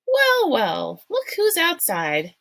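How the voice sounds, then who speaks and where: A woman voicing a cheerful, sociable mother in a storybook reading, lifting her pitch high and bright. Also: huge pitch swings, takes it slowly, creaky, no room sound, full character voice. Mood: breezy. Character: cheerful breezy mother, a novelist.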